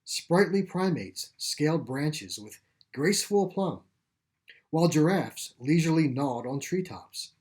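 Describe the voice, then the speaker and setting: A man narrating his own short story in a deliberate, literary storytelling manner. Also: fairly high pitch, animated, a little mellow.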